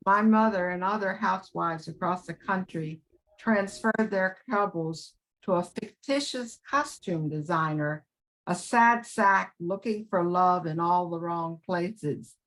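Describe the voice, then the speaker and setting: A woman's voice: fairly low pitch, measured pace, a bit bright, low-quality recording. An older woman with an American accent reading aloud her prepared personal memories of radio. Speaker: class member sharing radio memories.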